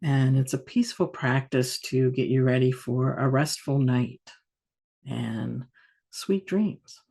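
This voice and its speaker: A woman meditation teacher guiding a yoga nidra practice in a soft, soothing, unhurried manner with long pauses. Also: extremely low pitch, gravelly, breathy edge.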